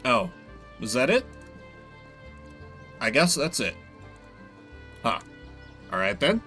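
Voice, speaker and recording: A male game streamer commenting casually, with wry sarcasm. His voice is bright and moves around a lot, over light background music. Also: mid-range voice, wildly swooping pitch, slow pace.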